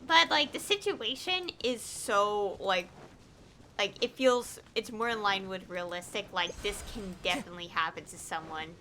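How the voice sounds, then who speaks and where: A woman game streamer chatting casually on a livestream, rambling and telling stories in halting fragments. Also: mid-range voice, sharp tone, a little hiss or hum.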